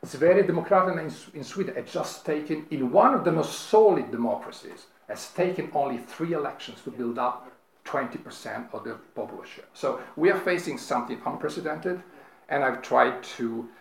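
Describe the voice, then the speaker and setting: A man speaking as a panelist, halting with frequent restarts and "right?" tags. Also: medium pitch, vocal fry. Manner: halting, many restarts and tag questions. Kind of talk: panel talk on politics. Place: lecture room. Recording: echoey room, faint noise.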